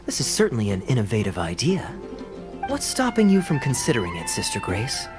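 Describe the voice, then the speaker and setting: A man's voice: mid-range voice, wildly swooping pitch, fairly quick, over music. A man voicing a friendly, earnest organizer in a fantasy game, upbeat and persuasive.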